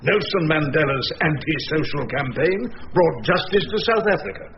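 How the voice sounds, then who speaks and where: A man's voice: on the high side, lively intonation, moderate pace. A wry older English barrister in a radio play. His delivery is droll and theatrical, rising and falling freely. The recording is slightly dull, with faint noise. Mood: grand oratory. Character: wry, grumbling older male barrister narrating his cases. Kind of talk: radio drama narration and dialogue.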